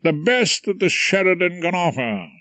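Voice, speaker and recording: A man's voice: high-pitched, very animated, fairly bright tone, no room sound, voice pushed up. A man voicing a pompous middle-aged male head of a barristers' chambers who draws out his speechmaking. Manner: drawn-out, self-important speechmaking.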